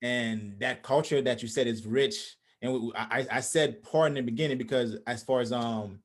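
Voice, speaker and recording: A man's voice: mid-range voice, moderate pace, slight background noise. Young man talking reflectively on a call. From Haiti. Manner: reflective, many restarts and fillers. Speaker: host. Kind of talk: casual conversation on a video call. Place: small indoor room. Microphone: video call webcam.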